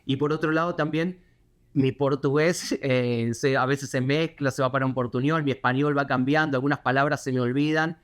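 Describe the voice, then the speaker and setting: A man with a Buenos Aires accent, a correspondent answering interview questions in a reflective, halting way with many fillers and restarts. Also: medium pitch, steady tone, fairly bright tone. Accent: Buenos Aires Spanish. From Argentina.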